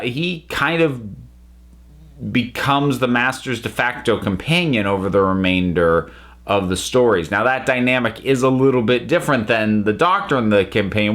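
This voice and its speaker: A man's voice: mid-range voice, fairly bright tone. A man giving a casual spoken review to viewers, thoughtful and emphatic, often breaking off to rephrase.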